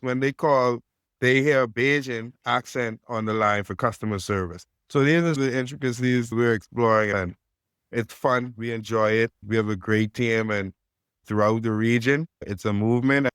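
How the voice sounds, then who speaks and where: Man, Caribbean accent, podcast guest, smooth resonant voice, dry close sound, steady business pitch. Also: no room sound. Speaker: podcast guest, fintech co-founder. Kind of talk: podcast interview.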